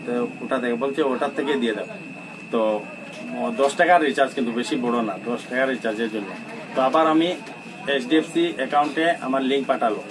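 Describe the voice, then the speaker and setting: A man with a Barak Valley Bengali accent giving an informal, halting interview account, repeating himself and mixing in English words. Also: mid-range voice. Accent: Bengali of Assam's Barak Valley. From Barak Valley, Assam. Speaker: interviewee recounting an incident. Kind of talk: informal spoken account to a reporter. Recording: distant mic, noisy ambience with birds.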